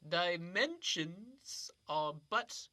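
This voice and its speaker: Man playing rambling old riddler, sing-song rhymes, radio play, lively swooping pitch, slow drawl. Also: full character voice. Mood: dreamy, rhyming. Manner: sing-song rhyming nonsense, dreamy. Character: eccentric old male riddling imposter, rambling. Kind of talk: comedy radio play acting.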